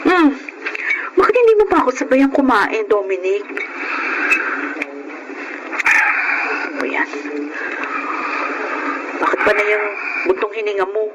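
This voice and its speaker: An actress playing a hardworking, wrongly suspected wife in a Filipino radio drama, with emotional, theatrical delivery. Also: female voice, mid-range voice, animated, moderate pace, pauses often, close to an everyday voice. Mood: quiet, casual. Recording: very noisy radio drama recording.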